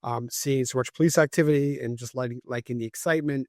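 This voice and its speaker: A man's voice: mid-range voice, fairly even intonation, fast, no echo. Reflective middle-aged man, dry sound. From Cambridge, Massachusetts. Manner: reflective, tags points with "right?". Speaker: guest, former FBI agent and CEO. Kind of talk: conversational podcast interview.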